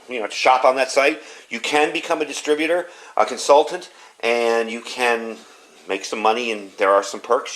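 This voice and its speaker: A man's voice: mid-range voice, a bit bright. A man giving a casual product review in a conversational, unscripted, matter-of-fact way.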